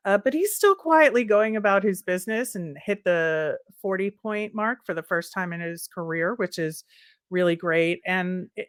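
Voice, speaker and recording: A woman with a slightly low voice chats as a sports podcast co-host. She lays out her thoughts in a measured, conversational manner. The recording is dry and free of room sound. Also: clean tone, dry recording. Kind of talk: casual hockey discussion.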